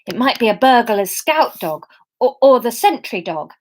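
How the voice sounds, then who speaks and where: Woman reading as a young woman, exasperated, sweary, self-mocking, very lively voice. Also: on the high side, normal speed. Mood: rattled musing. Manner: incredulous, swearing.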